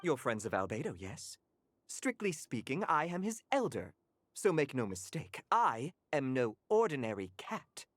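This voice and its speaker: Haughty low-voiced butler cat. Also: female voice, big pitch swings, unhurried, no room sound, exaggerated voice. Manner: formal, pompous, precise. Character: haughty elder butler cat, an alchemical being. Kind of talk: video game voice acting. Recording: music under the voice.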